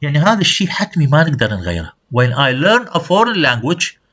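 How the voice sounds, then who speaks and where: Guy, lecturing in a college class, lots of pitch movement, muffled lo-fi sound. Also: sing-song, racing, fairly bright tone. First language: Arabic. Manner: explains terms and checks with students. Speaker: university lecturer. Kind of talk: lecture, switching between English and Arabic. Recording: dull lo-fi recording.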